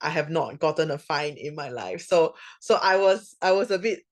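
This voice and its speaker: A woman's voice: sits low, animated, moderate pace. Chatty Singaporean woman in her thirties. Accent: Singaporean English. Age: 30s. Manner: chatty, many fillers like "like" and "you know". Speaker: guest speaker. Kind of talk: casual info session chat.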